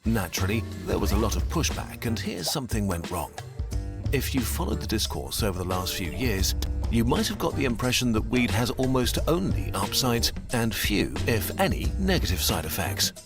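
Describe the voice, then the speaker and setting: A man giving scripted explainer narration with a lively, clear delivery. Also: on the low side, normal speed. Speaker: educational video narrator. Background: background music.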